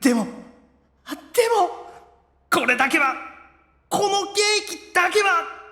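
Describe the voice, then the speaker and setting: A man playing a whiny, excitable young demon otaku in an anime audio drama, dramatic and easily flustered. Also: very high-pitched, measured pace, no room sound, clean studio sound, exaggerated voice. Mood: panicked, possessive.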